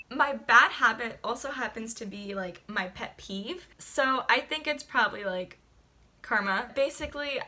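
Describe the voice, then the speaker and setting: A woman's voice: on the high side, bright, forceful tone, slight background noise. A young woman chatting casually in a get-to-know-me vlog, rambling with lots of fillers. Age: young adult. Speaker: vlogger.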